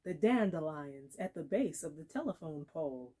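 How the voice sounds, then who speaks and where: A woman giving a dramatic literary reading, measured and expressive, with deliberate pauses. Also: low voice. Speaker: actor reading aloud.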